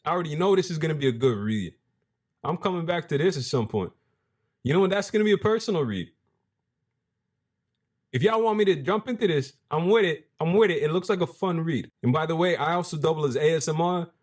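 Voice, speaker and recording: Young male host with a deep voice, hyped and casual, slang-heavy, talks over what he reads. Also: fairly quick. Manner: animated, hype-driven, street slang, restarts mid-phrase. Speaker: crypto video host. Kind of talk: casual commentary reading from a web page.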